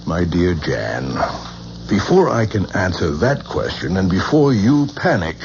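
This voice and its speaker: An actor playing a patient, formal scholar of the occult who lectures in a radio drama, from an old broadcast. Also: male voice, deep voice, very animated, a little slow, creaky, darkish tone, exaggerated voice. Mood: lecturing. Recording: dull old radio broadcast with faint hiss.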